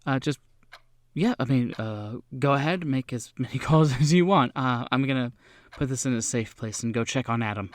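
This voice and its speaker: A man's voice: mid-range voice, normal speed, dry recording, natural voice. A man voicing a polite, hospitable female nurse who speaks in a hesitant, courteous, stammering way. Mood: obliging.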